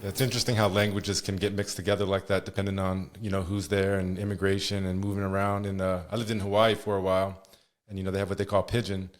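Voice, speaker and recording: A man's voice: deep voice, flat delivery, quick pace. A man, hosting a podcast in casual interview chat. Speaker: podcast host.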